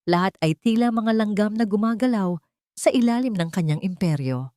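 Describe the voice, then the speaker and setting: A woman narrating a scripted dramatic story in measured, short literary phrases. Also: mid-range voice, expressive, mellow, no room sound, clean studio sound.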